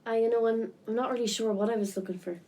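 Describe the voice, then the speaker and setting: A woman playing a gentle, pensive Irishwoman in naturalistic radio drama, tentative and a little wry. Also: on the high side, fairly even intonation, fast, close to an everyday voice.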